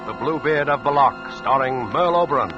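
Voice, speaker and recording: A man's voice: medium pitch, animated, fairly quick. A man introducing a 1940s radio drama as its host, formal and dramatic, on a dull old recording with music behind. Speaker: series narrator and host.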